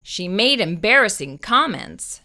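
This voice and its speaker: A woman reading a children's picture book aloud, slow and expressive. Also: mid-range voice. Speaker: children's storyteller.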